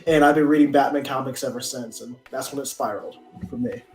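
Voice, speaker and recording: A man's voice: mid-range voice, steady tone, quick pace. A man co-hosting a casual live stream, talking like an enthusiastic fan. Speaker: podcast host. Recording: roomy echo, faint music.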